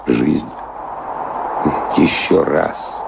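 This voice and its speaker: An actor playing a brooding man in a slow, deliberate monologue for an audio drama. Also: very deep voice, gravelly, exaggerated voice. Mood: brooding, resolute. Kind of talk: theatrical audio drama acting. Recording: very noisy old radio play recording.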